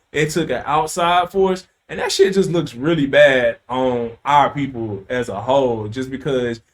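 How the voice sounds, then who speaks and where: A man hosting a casual podcast, telling a story with loose slang, profanity and plenty of fillers. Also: a little high. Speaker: podcast host.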